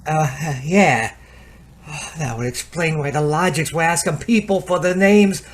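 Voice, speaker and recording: A man's voice: fairly high pitch, unhurried, lightly acted. A man playing a flustered, henpecked repairman who stammers nervously in an old-time radio comedy.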